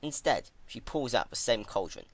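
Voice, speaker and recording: A man narrating a story in an expressive, dramatic reading style. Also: mid-range voice, moderate pace, no room sound. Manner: animated, expressive delivery. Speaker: fan fiction reader.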